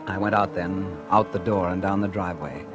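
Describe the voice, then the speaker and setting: A man's voice: fairly even intonation, fairly quick, lightly acted. An actor playing a bitter, vengeful man who narrates his story in a 1940s radio drama. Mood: brooding. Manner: brooding first-person narration, low and confiding. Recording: old radio broadcast with music.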